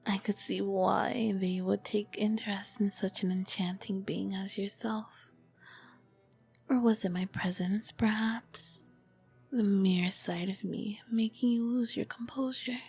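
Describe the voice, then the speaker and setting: A woman playing an ancient, charming, flirty vampire queen in a whispered ASMR roleplay, with elegant, formal diction and a soft breathy voice. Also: mid-range voice, steady tone, measured pace, faint music behind. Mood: coaxing, seductive.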